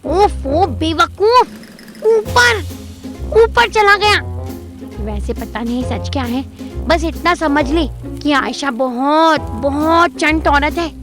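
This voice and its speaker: A woman's voice: high-pitched, takes it slowly, fairly bright tone, soft background music. A woman playing a gossipy, suspicious middle-aged mother in an audio drama, colloquial and scolding. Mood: exasperated. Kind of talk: Hindi audio drama acting.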